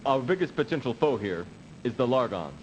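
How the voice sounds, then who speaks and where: An actor playing a dutiful, cautious officer who gives formal, measured briefings in a video game cut-scene. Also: male voice, mid-range voice, big pitch swings, a little mellow, natural voice. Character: dutiful, cautious male officer briefing his space commander. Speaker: military adviser in a video game. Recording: dull, noisy old CD game audio.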